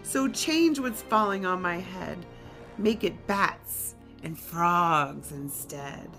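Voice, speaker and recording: A woman voicing an earnest young storybook witch, chanting rhyming spells in a drawn-out singsong way. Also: on the low side, expressive, sharp tone, faint music behind, heavily acted. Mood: incanting.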